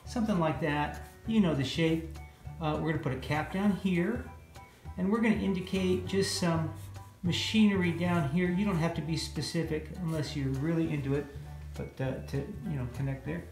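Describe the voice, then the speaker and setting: A man's voice: on the high side, moderate pace, darkish tone. A middle-aged man with an American accent gives a casual, playful, folksy step-by-step drawing lesson for children. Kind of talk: casual step-by-step art demonstration. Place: indoor room, at a drawing board. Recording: roomy echo with music underneath.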